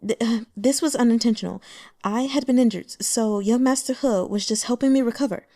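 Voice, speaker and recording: A woman reading aloud and voicing a scheming young woman who plays innocent, speaking softly, demurely and sweetly. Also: a little high, fairly quick, no echo, clean studio sound, natural voice. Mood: pleading. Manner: soft, demure and sweetly pleading.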